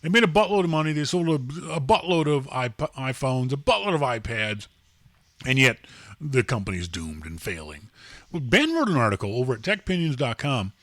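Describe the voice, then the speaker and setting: A man's voice: mid-range voice, big pitch swings, moderate pace, a bit bright, no room sound. A man hosting a live tech podcast, rambling and joking in casual chat with many fillers and restarts. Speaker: podcast host.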